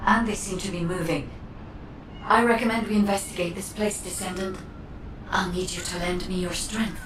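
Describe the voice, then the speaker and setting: A woman's voice: on the low side, steady tone, moderate pace, background noise, lightly acted. A woman playing an earnest, polite artificial guide in a video game, speaking formally and precisely. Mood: focused. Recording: echoey, distant, music behind.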